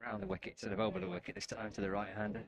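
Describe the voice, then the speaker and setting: A man's voice: on the low side, sing-song, racing, gravelly, crowd noise. A man co-commentating on cricket, bantering in a friendly way with his partner.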